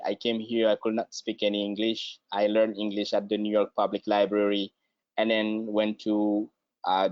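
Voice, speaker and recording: Man, halting and low-voiced. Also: darkish tone, no echo. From Guinea. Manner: halting, repeats words while searching. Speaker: guest. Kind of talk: conversational interview. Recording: dry, slightly dull lo-fi sound.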